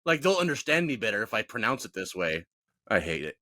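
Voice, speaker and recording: A man's voice: fairly low pitch, big pitch swings, brisk, bright, forceful tone, dry recording, clean studio sound. A man co-hosting a podcast, opinionated and self-mocking in casual chat. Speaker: podcast co-host.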